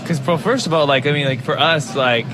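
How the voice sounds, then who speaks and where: A man's voice: on the high side, fairly quick, music in the background. A man with an American accent hosting a casual podcast interview, talking in an informal, rambling way. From United States. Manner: rambling, heavy use of "like", informal.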